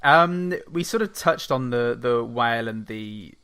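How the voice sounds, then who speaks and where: Bright-voiced man guesting on a podcast. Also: mid-range voice, lively intonation, unhurried, clear, resonant tone, no echo. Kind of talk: casual chat.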